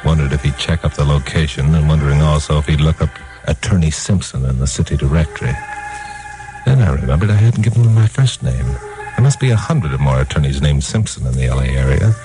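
Casting: hard-boiled newsman lead, vintage radio style, low voice with very lively, assured delivery. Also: fairly quick, over music, rough recording. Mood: wry narration. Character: confident, wisecracking hard-boiled newsman investigator. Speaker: lead character and narrator. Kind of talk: 1950s radio drama acting and narration.

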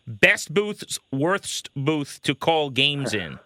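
Male sports talk host, sharp and forceful tone, dry close-mic sound, casual interviewer delivery. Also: on the high side, big pitch swings, drawn-out words, no echo. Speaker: baseball show host, interviewer.

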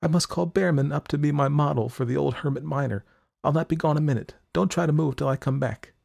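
A man's voice: fast talker, gravelly, a little mellow, no room sound, clean studio sound. A man doing an anxious young woman artist. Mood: gentle. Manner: pleading, tender, raised pitch.

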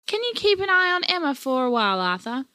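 A woman voicing an exasperated, nagging grandmother, sharp and scolding. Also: high voice, very animated, fast talker, dry recording, voice pushed up, heavily acted. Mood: annoyed.

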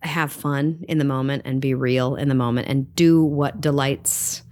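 Low-voiced woman telling stories. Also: moderate pace. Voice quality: low speaking voice. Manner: chatty, animated, frequent "you know what I mean". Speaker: Broadway actress, guest. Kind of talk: conversational storytelling interview.

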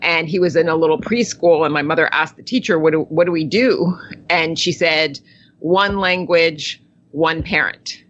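A woman's voice: sits low, fairly even intonation, normal speed, a bit bright. Reflective woman in her sixties, dull audio. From born in Italy, raised in the United States. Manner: reflective storyteller, laughs often. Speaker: guest. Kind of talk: conversational interview.

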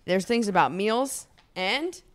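A woman's voice: mid-range voice, lively intonation, slow, dry recording. A woman co-hosting a casual podcast, reading lines from a book aloud and teasing her co-host with playful asides.

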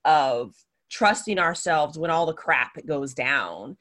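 A woman's voice: sits low, expressive, takes it slowly, fairly bright tone. A woman hosting a podcast interview, asking long, affirming, rambling questions. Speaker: podcast host. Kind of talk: casual interview talk.

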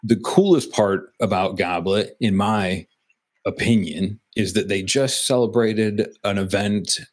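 A fairly low-voiced man talks casually on a podcast. He rambles and restarts his sentences, leaning on fillers as he goes. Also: measured pace. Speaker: podcast co-host. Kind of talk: casual beer podcast chat.

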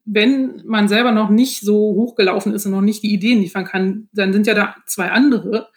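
A woman's voice: mid-range voice, fairly even intonation, normal speed. A woman speaking as a guest in a casual interview, explaining her experiences hesitantly and often laughing as she goes. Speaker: podcast guest, author.

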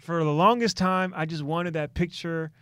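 A male comedian hosting a podcast, an animated, slangy storyteller who slips into quick voices. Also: a little high, clear, resonant tone, no echo. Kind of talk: casual chat.

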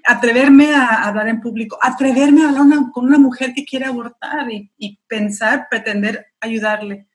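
A woman's voice: a little high, moderate pace, hardly any pauses. Earnest woman speaking Spanish. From United States. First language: English. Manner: earnest and encouraging, urgent appeals. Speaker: pro-life activist guest. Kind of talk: interview answer, exhorting listeners.

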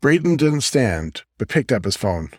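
A man's voice: mid-range voice, very animated, measured pace, slightly rough, no echo, studio quality. A man reading a scripted fiction story aloud as an audiobook narrator.

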